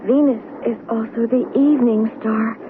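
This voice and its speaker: An actress playing an earnest young woman in a 1970s radio drama, speaking in an animated, explaining way. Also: fairly high pitch, normal speed, close to an everyday voice. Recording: thin phone-like old radio broadcast with noise.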